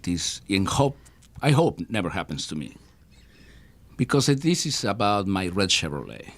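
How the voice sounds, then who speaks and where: A singer-songwriter from Argentina with an Argentine accent, chatting easily and joking as a guest in a radio studio. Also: male voice, low voice, a little slow. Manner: relaxed, jokey storytelling. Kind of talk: live studio performance and chat.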